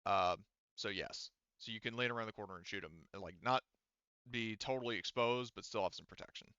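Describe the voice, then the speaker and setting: Bright, clear-voiced man running a game. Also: fairly low pitch, a little fast, dry recording, lo-fi sound. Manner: explanatory, thinking aloud with self-corrections. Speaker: game master. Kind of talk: casual tabletop game narration and rules talk.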